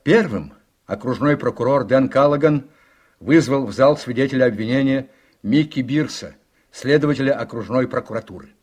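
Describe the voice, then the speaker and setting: A man's voice: medium pitch, normal speed, a little mellow, husky. A man reading scripted narration for a radio play. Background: music.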